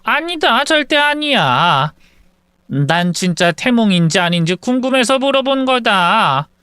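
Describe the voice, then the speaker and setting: A man voicing an older woman, a soft-spoken, doting mother-in-law. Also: high voice, sing-song, unhurried, clear, resonant tone, dry recording, clean studio sound, pitched above the performer's own voice, full character voice.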